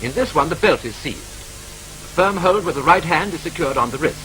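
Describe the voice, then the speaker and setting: Brisk British 1940s newsreel narrator. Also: male voice, a little high, expressive, a bit bright, rough recording. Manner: brisk, clipped, wryly matter-of-fact. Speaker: training film narrator. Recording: old film soundtrack with faint hiss.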